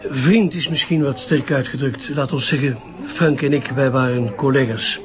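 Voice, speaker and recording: A man's voice: medium pitch, fairly even intonation, moderate pace, muffled recording, lightly acted. A man playing a guarded newspaper journalist in a radio crime drama, speaking hesitantly and carefully.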